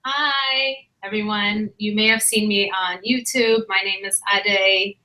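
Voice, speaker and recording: A woman from Ethiopia, a guest sharing her life story in a relaxed, open way with many pauses and fillers. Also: mid-range voice, poor audio. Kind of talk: casual personal storytelling.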